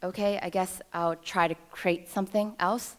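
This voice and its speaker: A woman's voice: fairly low pitch, fairly even intonation, moderate pace. A woman in her thirties from New York City, giving a personal storytelling speech at a ceremony with wry, self-deprecating humor, into a podium microphone. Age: 30s. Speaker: alumna speaker at a ceremony. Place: ceremony stage before an audience.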